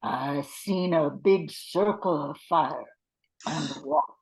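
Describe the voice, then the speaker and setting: An older amateur performer plays a watchful swamp creature from a radio play. The voice is husky and full of gravel. It talks slowly, in a plain rustic way. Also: medium pitch, low-quality recording. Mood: grave recollection.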